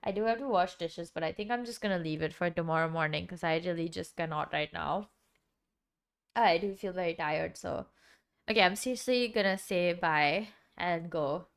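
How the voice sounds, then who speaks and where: A woman's voice: lowish voice, normal speed, smooth. A young woman streamer with a dry, sick-sounding throat, chatting casually and rambling with lots of filler words. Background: background music.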